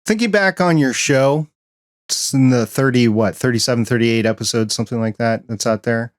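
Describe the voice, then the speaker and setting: A man hosting a podcast interview, asking questions in a relaxed, conversational way. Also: medium pitch, normal speed, no echo, clean studio sound. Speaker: podcast host. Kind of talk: casual interview.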